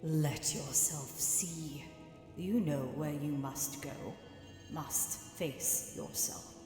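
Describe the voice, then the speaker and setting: A mysterious female spirit from a fantasy game, drenched in cavernous echo. Her voice is low and dark, cryptic and commanding. She delivers each phrase with portentous, measured weight. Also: soft background music. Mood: ominous, cryptic. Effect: heavy echoing reverb. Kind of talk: dramatic fantasy game voice acting. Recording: cavernous echo with long reverb tail.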